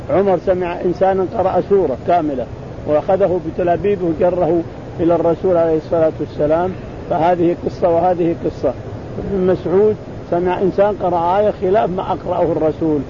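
Fast, high-voiced man teaching religious texts. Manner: repeats phrases, restarts words, acts out quoted exchanges. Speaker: teacher explaining hadith. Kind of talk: spoken religious commentary. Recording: muffled recording with loud rumbling noise.

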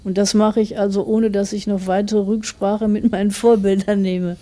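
A forty-year-old woman speaks as an author being interviewed. Her voice is dark and relaxed at an average pitch. She explains her thinking carefully and reflectively. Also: normal speed. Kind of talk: thoughtful interview answers.

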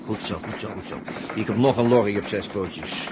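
A man's voice: fairly low pitch, brisk, close to an everyday voice. A man playing a brash, bossy, joking space traveller who barks short orders in a 1960s radio drama. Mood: bossy, hurried. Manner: barking orders in short colloquial bursts. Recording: very noisy old 1960s radio recording.

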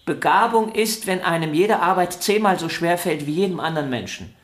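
A woman's voice: on the low side, lively intonation, fairly quick, lightly acted. A woman voicing a vain, proud young violin prodigy in a radio drama, talking in an arrogant, insistent, theatrical way. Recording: roomy studio echo.

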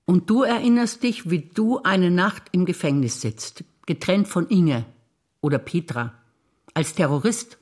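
An older woman performing scripted stage dialogue, wry and teasing in quick exchanges. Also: fairly low pitch, darkish tone. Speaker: stage actor.

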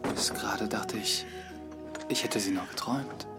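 A man playing a gentle, troubled clergyman in a German radio drama, speaking softly and with some hesitation. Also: on the low side, a little slow, whispered, loud music behind the voice, natural voice. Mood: tender, hushed.

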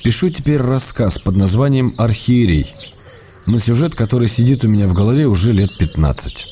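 An actor playing an ailing middle-aged writer in a radio drama, reading his love letters in an intimate, measured, wry way. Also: medium pitch, expressive, dark tone, slight background noise, lightly acted. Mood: playful, affectionate.

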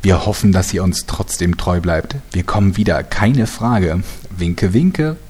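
A man's voice: low voice, a little slow, a little mellow, slightly rough. A man playing the narrator of a fantasy soap parody, delivering scripted storybook lines. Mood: chatty announcer.

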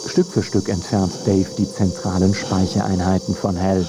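A man reading scripted radio documentary narration in a measured, deliberate way, close to a studio mic. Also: deep voice, level tone, noisy background. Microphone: close studio microphone.

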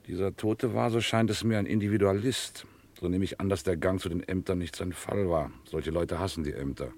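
Pompous, bossy amateur philosopher, extremely low husky voice, declamatory vintage radio drama. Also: male voice, steady tone, a little fast, a little mellow. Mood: lofty, indignant. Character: pompous philosophizing man named Henry, lofty and bossy. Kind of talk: 1960s German radio crime play acting.

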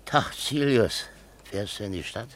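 An actor playing a gruff, stubborn, cheeky building-site worker in a naturalistic German radio drama, with a gravelly voice and a mocking, colloquial way of talking. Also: male voice, fairly low pitch, expressive, a little slow, no room sound. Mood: gruff, chatty.

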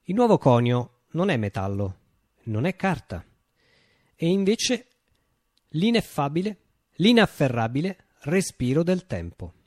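A man's voice: on the high side, very animated, darkish tone, no echo, studio quality. Man acting a declamatory, visionary revolutionary leader, swooping intonation. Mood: solemn, visionary. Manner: declamatory, with deliberate dramatic pauses. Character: visionary utopian revolutionary leader, poetic and grandiose. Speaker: actor performing a radio monologue. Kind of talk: theatrical radio drama monologue.

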